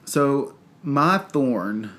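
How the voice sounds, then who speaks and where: A man's voice: mid-range voice, lively intonation, extremely slow, fairly bright tone. A man with a Southern US accent, congested and stuffy-nosed, chatting casually as a podcast co-host in a rambling way. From North Carolina, US. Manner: rambling, chatty, lots of fillers. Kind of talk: casual chat.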